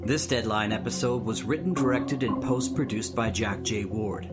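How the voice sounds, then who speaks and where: A man's voice: lowish voice, normal speed. A man reading scripted end credits as announcer for a radio drama. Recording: loud music under the voice.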